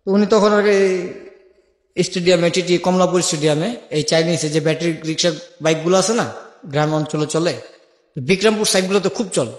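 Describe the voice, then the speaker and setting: A man's voice: high-pitched, fairly quick. A young Bangladeshi man telling his life story as an interview guest, colloquially and with remembered dialogue acted out. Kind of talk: personal storytelling in a radio-style interview. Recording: echoey with long reverb.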